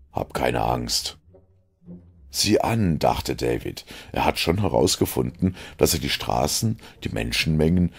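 A man narrating a dark audio story from a script, in a grave, deliberate manner. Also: a bass voice, gravelly.

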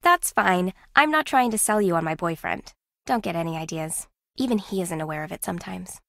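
A woman's voice: fairly low pitch, lively intonation, a little fast, gravelly, a bit bright, no room sound. A woman acting a shrewd, competitive young woman in a video game, with a dry, teasing, self-assured manner. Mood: wry.

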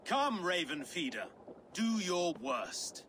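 High-voiced, defiant Saxon soldier. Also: male voice, very animated, takes it slowly, fairly bright tone, a little hiss or hum, exaggerated voice. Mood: proud defiance. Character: proud, defiant Saxon soldier, loyal to his king. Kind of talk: dramatic video game acting.

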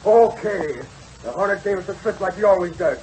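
Casting: brusque police sergeant, high voice, no-nonsense, faintly noisy old radio crime serial. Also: male voice, expressive, fairly quick, darkish tone. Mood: urgent, decisive. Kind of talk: 1940s radio drama acting. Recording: noisy vintage radio recording.

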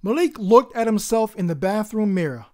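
A woman's voice: mid-range voice, lively intonation, moderate pace. A woman hosting a story time, reading expressively as the narrator of a children's book.

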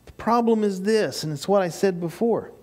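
A man's voice: high voice, normal speed, dark tone. An older man preaching a sermon to his congregation. He builds to emphatic peaks and repeats key phrases, recorded by a far camera in a small church. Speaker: pastor. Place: small church sanctuary with projection screen. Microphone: far camera, no mic seen.

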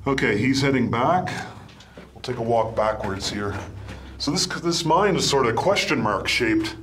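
A man's voice: mid-range voice, animated, moderate pace, a bit bright, a little hiss or hum. A man from Canada hosting an exploration vlog, giving casual, laid-back, unscripted commentary as he walks with the camera. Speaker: host of a mine exploration video series. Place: inside a small mine tunnel and outdoors in woods.

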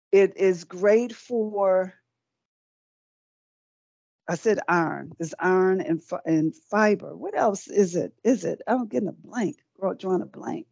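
A woman's voice: medium pitch, gravelly, darkish tone, no echo. A middle-aged woman giving a live cooking demonstration, chatty and encouraging, often repeating words while she works at the stove. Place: small indoor set with folding screens. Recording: dry, dull lo-fi sound.

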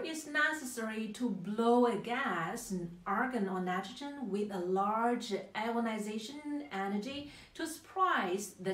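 A young woman presenting a scripted technical explainer in careful, halting English. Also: medium pitch. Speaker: product presenter. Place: small plain indoor room, seated. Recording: echoey room.

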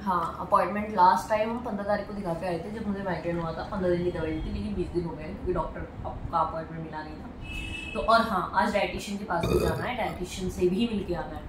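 A woman's voice: fairly low pitch, fast, echoey room, noisy background. A young woman vlogger chatting playfully to a handheld phone camera in her bedroom at home. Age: young adult. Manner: playful and chatty, teasing and laughing often. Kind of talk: casual chat to camera. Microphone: phone camera held at arm's length.

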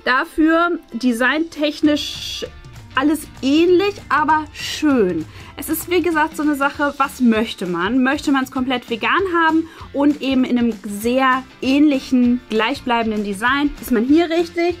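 A woman in her thirties with a high voice hosts a casual unboxing. She chats and explains to her viewers, with faint music playing behind her. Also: unhurried. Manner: chatty, explanatory. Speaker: host of an unboxing channel. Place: small furnished indoor room. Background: faint background music.

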